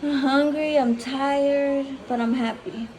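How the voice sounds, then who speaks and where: A woman's voice: high-pitched, fairly even intonation, slow pace, a little mellow, a little hiss or hum, low-quality recording. A woman with a Mexican accent, Spanish her first language, chatting casually and profanely while cooking at home and switching between English and Spanish. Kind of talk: casual cooking vlog chat. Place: home kitchen.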